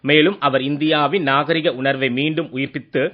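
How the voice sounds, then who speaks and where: Higher-voiced man reading radio news. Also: steady tone. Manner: formal, measured reading. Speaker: news reader. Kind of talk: formal scripted radio news bulletin. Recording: dull lo-fi radio sound.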